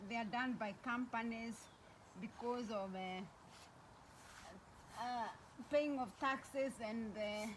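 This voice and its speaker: Slow-talking Kenyan woman, noisy recording. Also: fairly high pitch, fairly bright tone. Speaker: vlogger. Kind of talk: casual vlog chat.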